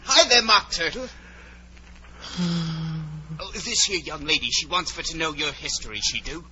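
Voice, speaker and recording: A man's voice: on the high side, very animated, normal speed, over music. A man playing a brusque, bossy, uneducated griffin in a 1940s radio drama, with folksy, ungrammatical speech. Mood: boisterous. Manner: gruffly impatient, folksy and ungrammatical. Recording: dull, lo-fi 1940s radio recording.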